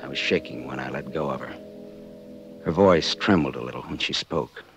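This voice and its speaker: An actor playing a wry, hardboiled private detective in a 1940s radio drama, with an American accent and a sardonic, clipped manner. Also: male voice, very deep voice, normal speed, creaky, over music. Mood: brooding narration. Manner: sardonic, clipped, world-weary. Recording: dull 1940s radio recording.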